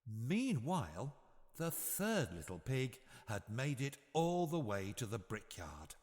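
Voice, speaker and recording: Male children's storyteller, unhurried pace, very animated intonation, expressive, long reverb. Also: medium pitch. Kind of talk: scripted children's bedtime story narration.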